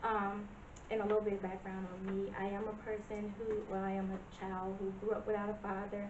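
A woman in her thirties introduces herself and her plan to a village board. She speaks earnestly, with a few hesitations. The sound is distant and echoey, dulled by a camera mic with steady hiss. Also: medium pitch, fairly quick. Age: 30s. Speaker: business presenter. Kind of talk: public comment at a meeting. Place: village board meeting room. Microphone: far camera. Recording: distant echoey lo-fi meeting video.

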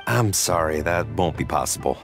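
An actor playing a polite, discreet ninja in a video game, with a measured, thoughtful manner. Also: male voice, a bass voice, expressive, gravelly, heavily acted. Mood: regretful. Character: polite, discreet male member of a ninja organization. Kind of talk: video game character dialogue. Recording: music behind the voice.

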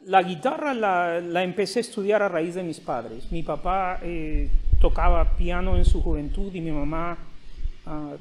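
A middle-aged guitarist speaking passionately and reflectively in an outdoor interview. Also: male voice, on the high side, slight background noise, rough recording. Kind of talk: interview testimony. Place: outdoor terrace by a park.

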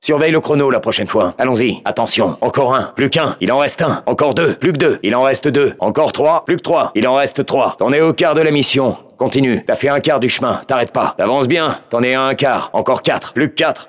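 An actor playing a gritty, commanding special-forces lieutenant in a video game, giving terse, clipped orders. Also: male voice, mid-range voice, natural voice. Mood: low, firm. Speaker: squad leader. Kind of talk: video game combat voicelines, French dub.

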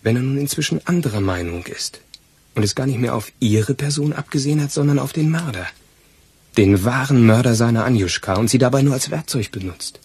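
An actor playing an eager, loyal police assistant in a crime radio play, arguing colloquially. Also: male voice, medium pitch, lively intonation, fairly quick, darkish tone, breathy edge. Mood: eager, deducing. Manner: colloquial, argumentative.

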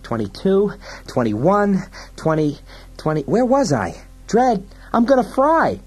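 Audiobook sidekick: male narrator as motor-mouthed male crook, high, husky, aggrieved, wisecracking, easily panicked. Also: sing-song, normal speed, darkish tone. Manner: motor-mouthed, complaining, stammering. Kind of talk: dramatised audiobook science-fiction dialogue.

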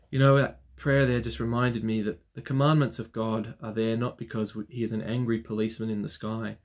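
A man in his thirties, a clergyman, talking to viewers on his weekly vlog and leading a solemn spoken prayer from his study. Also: mid-range voice. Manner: earnest, solemn, measured prayer cadence. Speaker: Anglican clergyman. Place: study with bookshelves, indoors. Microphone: webcam framing at desk.

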